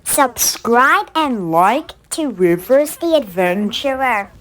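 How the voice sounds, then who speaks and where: A woman's voice: a little high, animated, slow, a bit bright. A woman reciting a promotional line through warping, distorting voice-changer filters. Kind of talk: repeated channel promo line.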